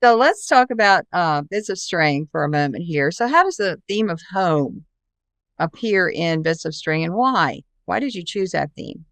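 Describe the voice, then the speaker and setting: A woman's voice: fairly low pitch, expressive, normal speed, a bit bright, dry recording. A woman hosting a podcast, moving between a read introduction and interview talk. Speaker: podcast host.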